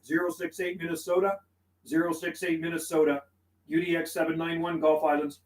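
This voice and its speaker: A man's voice: on the high side, little pitch movement, fairly quick, slight background noise, poor audio. A man working as a CB radio operator on a livestream, mixing radio calls with laid-back, friendly, slangy chat. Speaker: CB radio operator and live streamer.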